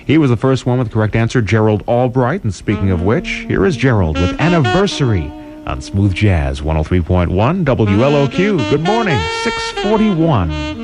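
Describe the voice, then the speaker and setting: A man with an American accent working as a radio DJ, presenting smooth jazz and short information updates as morning host. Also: lowish voice, big pitch swings, normal speed, loud music behind the voice.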